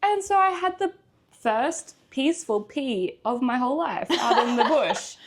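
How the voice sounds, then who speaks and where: A woman's voice: high-pitched, animated, a little slow. A woman from Australia, chatting casually as a guest, with frequent ums and laughs. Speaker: guest, PhD student. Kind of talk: casual interview chat.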